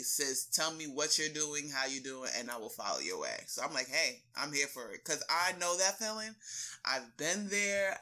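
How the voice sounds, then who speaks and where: Bright-voiced, expressive woman in casual interview. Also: deep voice. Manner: expressive storytelling, acts out quoted remarks; slangy, emphatic. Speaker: fitness coach interviewed as guest. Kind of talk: animated casual interview talk.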